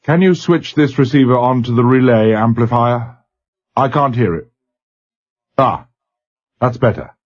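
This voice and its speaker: A man's voice: medium pitch, unhurried, pauses often, close to an everyday voice. A man voicing an older male ship's captain, worn but commanding, giving clipped, urgent orders. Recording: dull lo-fi recording.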